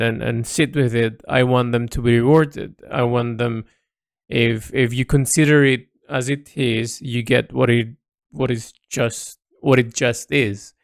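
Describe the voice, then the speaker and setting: A man's voice: mid-range voice, creaky, dry recording, studio quality. A man recording a reflective, unscripted audio log as an artist, thinking aloud hesitantly and often repeating words and phrases.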